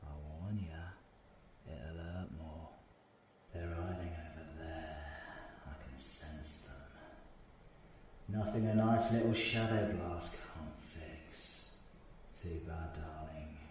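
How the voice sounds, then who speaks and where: A low-voiced British supervillain, acted by a man in an audio roleplay. He is cocky and menacing, with a weary edge, drawing out his teasing threats slowly over a noisy ambient background. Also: fairly even intonation. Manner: slow, teasing, theatrical, with pet names. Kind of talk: audio roleplay acting, villain ASMR.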